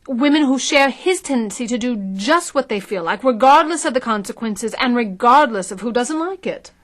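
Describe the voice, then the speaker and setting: Female media professional actress, polished presenter delivery, slightly high voice, audio satire. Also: lively intonation, moderate pace, close to an everyday voice. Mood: formal, probing. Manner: articulate, pitching. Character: polished, promotional female media consultant and interviewer. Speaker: actor. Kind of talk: satirical audio drama acting.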